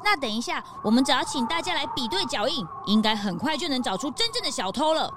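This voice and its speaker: A woman voicing an excitable, easily frightened young sidekick who complains and exclaims in a children's audio detective drama. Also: high voice, quick pace, background noise. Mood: eager.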